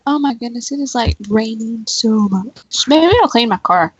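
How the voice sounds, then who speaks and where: A woman's voice: fairly high pitch, animated, normal speed, a little hiss or hum. A woman co-hosting a livestream and chatting casually. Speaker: livestream co-host. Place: indoors, live stream.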